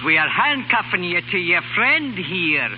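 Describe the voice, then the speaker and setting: An actor playing a gruff, scornful policeman making an arrest in a noisy 1930s radio drama. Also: high-pitched, big pitch swings, a little slow. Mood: scornful, commanding. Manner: barking, scornful. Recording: noisy, muffled 1930s radio broadcast recording.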